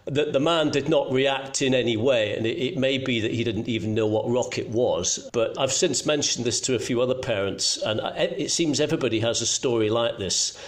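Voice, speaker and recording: A man's voice: mid-range voice, brisk, hardly any pauses. A middle-aged man from Scotland, telling anecdotes conversationally as a guest, in a self-deprecating way. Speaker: journalist guest.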